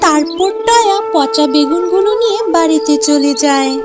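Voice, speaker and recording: High-pitched woman narrating a children's story. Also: lively intonation, moderate pace, loud music behind the voice.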